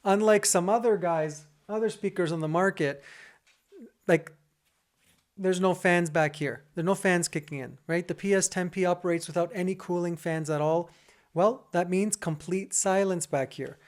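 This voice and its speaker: A man with a bassy voice giving a casual, partly scripted review of audio gear from his home studio, talking to viewers as "guys". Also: moderate pace. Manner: conversational, addresses viewers as "guys".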